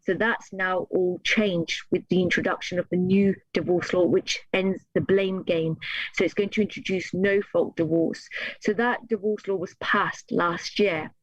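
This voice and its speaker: A family law solicitor answering interview questions in a halting way, with many fillers and restarts. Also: medium pitch, fairly even intonation, no echo. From United Kingdom. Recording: dull lo-fi dry sound.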